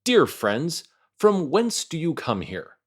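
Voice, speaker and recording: A man's voice: on the high side, huge pitch swings, takes it slowly, breathy edge, voice pushed up, heavily acted. A man voicing an earnest, friendly talking straw with a dramatic storybook manner. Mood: friendly, curious. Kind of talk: storybook character voice.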